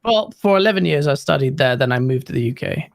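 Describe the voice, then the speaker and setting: Gravelly, high-voiced man from Pakistan. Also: animated, no room sound, clean studio sound. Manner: frank, opinionated, quick asides. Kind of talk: casual podcast discussion.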